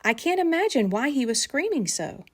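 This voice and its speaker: Storybook primary teacher, read by a woman: gentle, patient, explanatory, lively pitch movement. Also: moderate pace. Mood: puzzled, questioning. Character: gentle, reasoning female primary school teacher.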